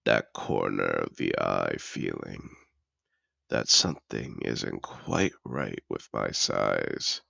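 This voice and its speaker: A man playing a seductive, possessive dragon in human disguise in an intimate fantasy audio roleplay, teasing and courtly in a slow, gravelly murmur. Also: animated, pushed and bright, no echo, muffled recording, heavily acted. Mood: soothing, apologetic. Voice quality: very deep, gravelly. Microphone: close, dry microphone.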